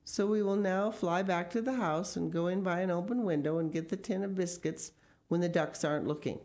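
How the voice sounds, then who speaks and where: A woman's voice: on the low side, steady tone, brisk, a little mellow, voice pushed up, close to an everyday voice. A woman reading the part of a bossy, eager father in a children's story, commanding and emphatic. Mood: confident.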